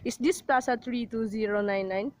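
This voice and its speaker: A fairly high, very dry-sounding voice as a telegraph operator in a radio drama, acted by an amateur woman. She is formal and businesslike, reciting in an impassive, matter-of-fact way. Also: normal speed, dry recording, close to an everyday voice. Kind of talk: amateur radio play acting.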